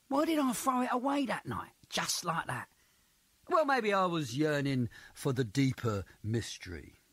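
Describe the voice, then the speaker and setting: Bright-voiced cocky rock singer. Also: male voice, sits high, no room sound, heavily acted. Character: cocky, mischievous young mod rock singer addressing his audience. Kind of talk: theatrical stage monologue.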